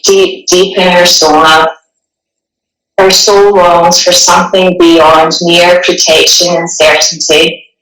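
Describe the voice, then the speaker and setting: A woman guiding a meditation in a gentle, soothing, unhurried way, with long pauses between phrases. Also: lowish voice. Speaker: meditation guide. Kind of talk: guided meditation, partly reading a passage. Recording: echoey room, distant microphone.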